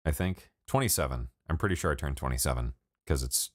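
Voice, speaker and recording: A man's voice: extremely low pitch, lively intonation, quick pace, no echo, clean studio sound. A man in his late twenties chatting casually with viewers on a live stream, rambling, swearing and laughing a lot. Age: 20s. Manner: rambling, profane, laughs often. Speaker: music producer streaming live. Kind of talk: casual livestream chat with viewers.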